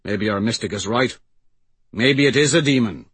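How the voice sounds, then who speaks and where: A man's voice: medium pitch, moderate pace, fairly bright tone, slightly rough, no echo. A man voicing a stubborn, cowardly male chieftain in an audiobook, dismissive and defensive.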